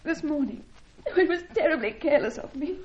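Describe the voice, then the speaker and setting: A dulled old radio drama recording. a naive young woman, her voice fairly high yet soft-toned. She is genteel, helpless and fretful, earnest in every line. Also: expressive, fairly quick, dull audio. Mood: worried. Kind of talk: old-time radio adventure serial acting.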